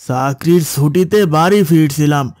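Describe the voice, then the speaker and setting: A man acts a stranded traveller on his way home in a horror cartoon. The voice is a touch high and close, with a plain, polite way of explaining. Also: measured pace, a little mellow, dry recording, close to an everyday voice. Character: stranded male traveller going home on leave. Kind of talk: Bengali horror cartoon dialogue.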